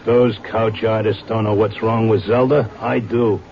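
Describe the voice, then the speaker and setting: A man's voice: lowish voice, level tone, measured pace, creaky, a little hiss or hum. An actor playing a stubborn, devoted horse-race handicapper in a vintage radio drama, talking in slangy wise-guy style. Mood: insistent. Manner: slangy, streetwise Broadway wise-guy talk. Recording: dull old radio recording with music.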